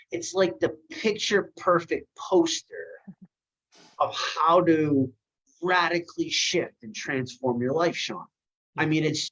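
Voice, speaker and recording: A man's voice: a little high, a bit bright, husky, lo-fi sound. A man speaking on a group call, giving an emphatic, inspirational tribute. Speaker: community member giving a testimonial. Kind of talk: motivational speech on a call.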